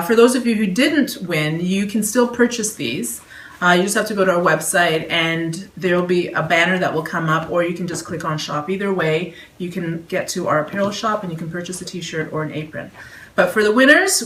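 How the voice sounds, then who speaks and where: A woman's voice: fairly low pitch, a bit bright. A woman with a Canadian accent hosting a home cooking vlog, talking chattily with plenty of fillers. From Toronto. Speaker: vlog host. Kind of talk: casual announcement. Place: dining room at home. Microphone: camera across the table. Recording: roomy, distant, faint hiss.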